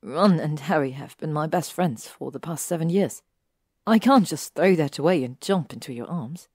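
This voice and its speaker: A woman's voice: fairly low pitch, animated, normal speed, no echo, studio quality. A woman reading a fan story aloud, voicing a fierce, clever young witch in an earnest, emphatic way. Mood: pleading.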